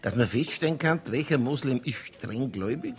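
An actor playing an easygoing police detective in a radio crime play, chatting with a gravelly voice among drinking mates. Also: male voice, mid-range voice, animated, moderate pace, close to an everyday voice. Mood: pondering.

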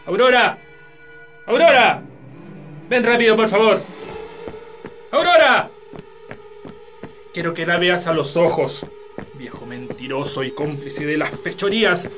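A man's voice: high voice, expressive, unhurried, pauses often, over music. An actor with a Chilean accent playing an angry, imperious landowner father in a radio drama, scolding sternly. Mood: furious.

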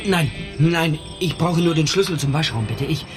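An actor playing a tense doctor who stammers and grows frantic in a radio drama. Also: male voice, fairly high pitch, moderate pace, over music, natural voice. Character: nervous male doctor sliding into panic.

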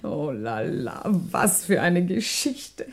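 An actress playing an excitable, frightened French landlady in a German radio crime drama, theatrical and halting, with a husky, creaky voice. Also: lowish voice, heavily acted. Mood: flustered, confiding. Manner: breathless, theatrical, halting exclamations.

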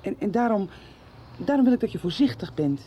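A woman's voice: mid-range voice, sing-song, brisk, warm tone, natural voice. An actress playing an earnest young woman who speaks formally and takes charge to protect her friends in a radio soap. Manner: earnest, formal, taking charge and making plans. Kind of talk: 1990s radio soap acting. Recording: dull lo-fi radio recording with faint street noise.

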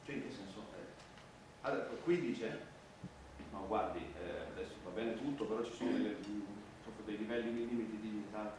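A man's voice: lowish voice, brisk, natural voice. An actor playing an earnest, fussy male street performer with lofty pretensions, stammering and self-important in theatrical comic style. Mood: flustered, indignant. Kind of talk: theatrical comic stage acting. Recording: distant, echoey stage recording with noise.

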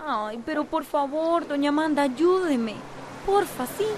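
An actress voicing a wheedling teenage daughter in a radio soap, with a Costa Rican accent. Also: female voice, high voice, lively intonation, measured pace, darkish tone, slight background noise. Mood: pleading, eager. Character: eager, wheedling teenage daughter seeking independence. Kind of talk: radio soap opera acting.

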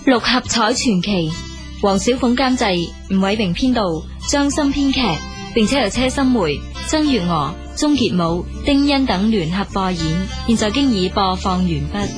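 Female radio announcer, scripted credit read, middle pitch, light plucked-string music underneath. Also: expressive, normal speed.

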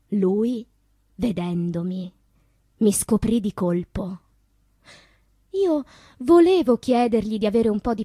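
An actress playing a tormented, wistful woman poet in a theatrical monologue, dramatic and deliberate, with a breathy, husky voice. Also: medium pitch, dry recording, studio quality. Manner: dramatic, slow deliberate delivery with hushed passages. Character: tormented woman poet recalling destructive loves, wistful and intense.

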